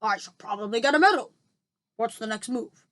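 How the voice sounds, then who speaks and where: A woman voicing a pompous, self-important male army chief who talks in a brisk, boastful way. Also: a little high, lively intonation, fairly bright tone, no room sound.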